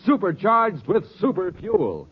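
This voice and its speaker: A man announcing on 1950s children's radio with a punchy pitchman delivery. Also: big pitch swings, a little slow, slightly rough. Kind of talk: 1950s radio announcing, commercial and story intro. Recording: muffled old radio recording.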